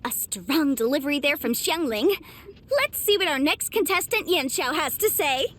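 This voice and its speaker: A woman's voice: high voice, expressive, moderate pace, a bit bright. A woman voicing a courteous young contest announcer in a video game, formal and ceremonious.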